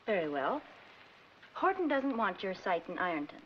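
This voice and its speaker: Shrewd young schemer played by a woman, brisk slangy delivery, mid pitch, muffled noisy 1940s film soundtrack. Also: big pitch swings, lightly acted. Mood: coy. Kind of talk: 1940s comedy film acting.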